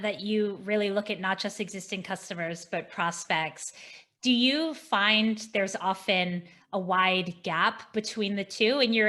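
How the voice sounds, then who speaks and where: A woman's voice: mid-range voice, a bit bright. Enthusiastic woman hosting an interview. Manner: enthusiastic, affirming. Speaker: podcast host interviewing a guest. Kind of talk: interview, casual professional chat. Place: small indoor office, video call. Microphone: desk microphone close in front.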